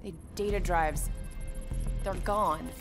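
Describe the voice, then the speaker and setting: A composed female intelligence operative in a military video game. She lays out intel in a measured, matter-of-fact way. Loud music runs behind her voice. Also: fairly low pitch, fairly even intonation. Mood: grave. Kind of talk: video game cutscene acting.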